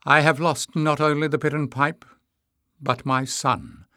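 A man voicing a proud, bitter mother in an audiobook, high-pitched, accusing and imperious. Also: fairly even intonation, drawn-out words, pushed and bright, dry recording, clean studio sound, full character voice. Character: Tyrrell, proud bitter mother, piercing and indignant. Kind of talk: acted audiobook dialogue.

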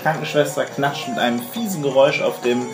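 A man's voice: medium pitch, fairly quick, music in the background. Male game master in an echoey room. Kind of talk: narrating a tabletop roleplaying game. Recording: echoey room with clear background noise.

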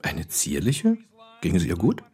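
An actor playing a good-natured, apologetic husband in naturalistic audio drama dialogue, with a husky, rough voice. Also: male voice, low voice, huge pitch swings, unhurried, warm tone, full character voice. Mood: concerned.

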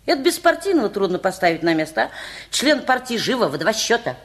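An actress in a radio play playing a bossy, opinionated aunt who gives advice in an insistent, emphatic busybody manner. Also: female voice, mid-range voice, big pitch swings, fast, lightly acted.

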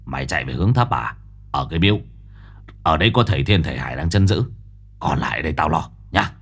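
A man doing a gruff, cocky ghost brawler. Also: very deep voice, lively intonation, quick pace, a bit bright, voice pushed down, exaggerated voice. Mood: curt orders. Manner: gruff, brusque, clipped. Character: gruff tough middle-aged man, cocky ghost fighter.

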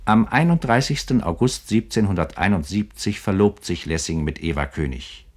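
Deep-voiced formal male narrator. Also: animated. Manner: measured, formal. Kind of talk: scripted literary radio narration.

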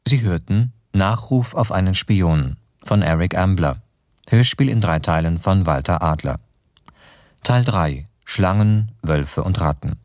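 A man reading a scripted broadcast announcement in a formal, measured manner. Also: deep voice, darkish tone, dry recording, clean studio sound. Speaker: radio announcer reading credits.

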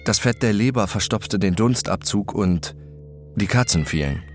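A man playing a detached, obsessive first-person narrator in a radio drama, speaking in a measured, introspective way. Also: fairly low pitch, expressive, lightly acted. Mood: detached, absorbed. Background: music behind the voice.

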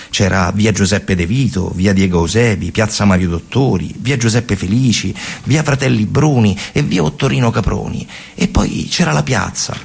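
A man performing a theatrical narrative monologue, conversational, with deliberate repetitions and pauses. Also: on the low side, gravelly, darkish tone, breathy edge. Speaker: stage actor and storyteller.

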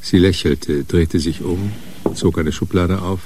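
A man's voice: sits low, steady tone, measured pace, background noise. A man narrating a scripted crime radio play as a literary storyteller.

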